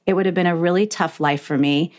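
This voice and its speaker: A woman's voice: fairly low pitch, steady tone, quick pace, darkish tone. A middle-aged woman hosting a heartfelt conversational interview, reflective and acting out imagined remarks as she talks. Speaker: podcast host interviewing a guest.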